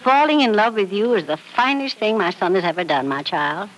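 A woman's voice: medium pitch, lively intonation, fairly quick, a bit bright, a little hiss or hum, close to an everyday voice, poor audio. An actress playing a frank, doting, wealthy elderly mother in an old radio soap, speaking with emphatic, theatrical affection. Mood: affectionate. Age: older. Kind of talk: 1940s radio soap opera acting. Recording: old radio drama recording.

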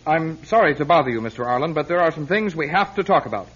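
Radio drama: fussy male manager, husky, fairly high, stammering officialdom. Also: animated, fast talker, fairly bright tone. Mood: concerned, awkward. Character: flustered, officious male residential hotel manager. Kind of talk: classic radio drama acting. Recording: dull lo-fi radio recording.

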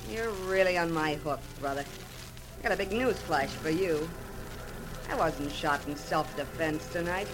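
A woman's voice: sits low, a little slow, music in the background, poor audio. A woman playing a brazen, menacing young blackmailer in an old radio crime drama, taunting and hard-edged. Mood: gloating threat. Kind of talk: 1940s-50s radio crime drama acting. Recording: old radio recording with musical backing.

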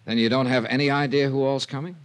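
An actor playing a hard-boiled, wary insurance investigator in a classic radio drama, with an American accent and a wry, clipped, matter-of-fact manner. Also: male voice, mid-range voice, steady tone, fast, clear, resonant tone, natural voice. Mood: skeptical. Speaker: detective narrator and lead. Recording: old radio broadcast recording.

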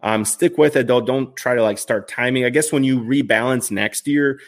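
A man's voice: medium pitch, normal speed. A man in his thirties hosting a live stream, answering viewer questions in a chatty, upbeat way with lots of fillers and restarts. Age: 30s. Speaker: finance livestream host.

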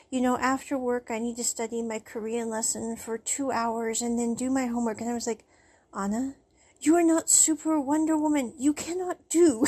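A woman telling a casual personal story to the camera, chatty and animated, with frequent laughs. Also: fairly high pitch, breathy edge. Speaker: vlogger.

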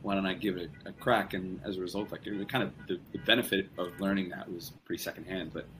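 A man's voice: sits low, fairly even intonation, quick pace, fairly bright tone, slight background noise, low-quality recording. A young man as a podcast guest, talking casually in rambling sentences with many restarts. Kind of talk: casual conversation.

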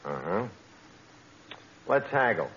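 An actor playing a brash, scheming, lowbrow tavern manager with a New York accent and would-be fancy words, in 1940s radio comedy style. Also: male voice, big pitch swings, slow pace, a bit bright, exaggerated voice. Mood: haggling. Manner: mangled grammar with pretentious fancy words, hemming. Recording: dull old radio recording, noisy.

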